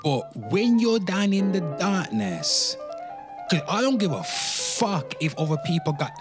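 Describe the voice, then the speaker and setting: Guy doing a casual streamer rant, really lively up and down delivery, repeats himself, music playing behind. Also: sits high, measured pace. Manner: emphatic, slangy, sweary, repeats phrases, often addresses listeners as "people". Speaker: host of a pop culture commentary show. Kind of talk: casual rant and commentary, off the cuff.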